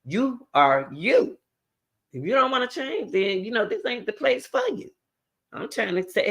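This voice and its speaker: A middle-aged woman, hosting her own channel and giving a preachy, repetitive motivational talk straight to her viewers. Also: on the low side. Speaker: channel host.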